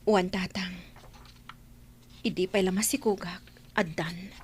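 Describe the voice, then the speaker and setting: A woman's voice: on the low side, fairly even intonation, measured pace, husky. A woman playing a jilted young expectant mother in a radio drama, wounded and resigned. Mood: hurt, resigned. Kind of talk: Ilocano radio drama acting.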